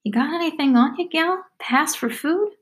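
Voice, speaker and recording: Woman acting ragged chatty girl, pitched high. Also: expressive, moderate pace. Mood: blunt curiosity. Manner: talkative, blunt, rustic nonstandard speech.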